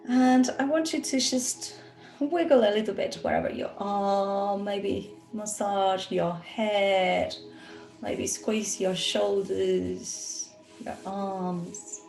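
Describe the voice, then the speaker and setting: A woman leading a guided meditation as a yoga nidra teacher, speaking in a soothing, instructional manner with long pauses. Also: medium pitch, fairly even intonation, vocal fry, darkish tone, soft background music. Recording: roomy, echoey sound.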